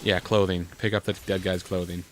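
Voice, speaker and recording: Man, lowish pitch, congested with a cold, sarcastic, casual gaming commentary, faint noise behind. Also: flat delivery, a little fast. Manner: dry, sarcastic. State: has a bad cold, coughing. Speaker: gaming video co-host. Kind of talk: casual joking game commentary. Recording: noisy room, game sounds behind.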